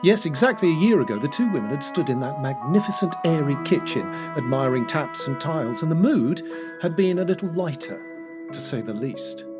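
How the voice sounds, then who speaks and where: Audio drama narrator male actor: arch, knowing observer, very animated intonation, heard over a music bed. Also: fairly high pitch, fairly quick, natural voice. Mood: wry. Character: knowing, wry male storyteller setting scenes.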